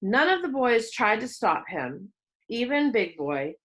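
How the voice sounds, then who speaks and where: A woman reading a children's story aloud as narrator, expressive and with dramatic pauses. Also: fairly high pitch. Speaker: storybook reader.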